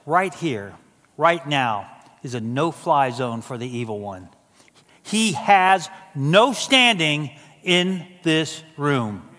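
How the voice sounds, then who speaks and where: A man's voice: on the high side, a bit bright. Older man preaching in a reverberant hall. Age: 60s. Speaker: executive pastor. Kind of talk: sermon. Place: stage of a large church auditorium. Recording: hall reverb from a large auditorium.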